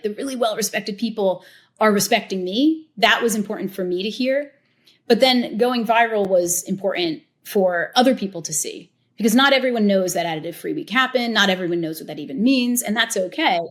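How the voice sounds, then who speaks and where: Woman, podcast guest, chatty, animated, casual storytelling. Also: mid-range voice. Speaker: podcast guest, tequila educator. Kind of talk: casual interview talk.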